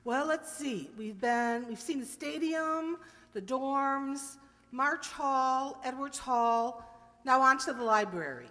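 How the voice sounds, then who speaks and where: Woman playing a high-voiced, sentimental radio sleuth wife. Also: expressive, slow pace, sharp tone, full character voice. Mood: earnest. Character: sentimental, excitable young wife turned amateur sleuth. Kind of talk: 1940s radio acting. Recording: echoey hall.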